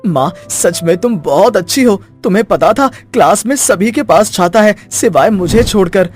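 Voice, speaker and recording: A man's voice: sits high, lively intonation, moderate pace, soft background music. A man voicing an earnest, kind-hearted young schoolboy in a children's fairy tale. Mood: delighted. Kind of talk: children's story voice acting.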